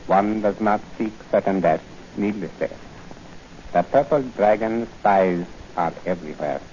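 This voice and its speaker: An actor playing a grave, courteous Chinese merchant with formal, measured speech in a 1940s radio drama. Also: male voice, fairly low pitch, warm tone, natural voice. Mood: solemn, regretful. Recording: dull, noisy 1940 radio recording.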